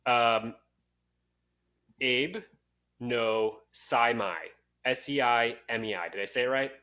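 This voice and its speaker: A man hosting a casual podcast, telling stories in a halting, jokey way. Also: medium pitch, pushed and bright. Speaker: podcast host.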